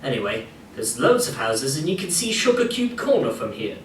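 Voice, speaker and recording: A man's voice: mid-range voice, very animated, moderate pace. A man reading scripted narration for a story game, addressing the listener as the player. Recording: echoey room playback, distant, faint noise.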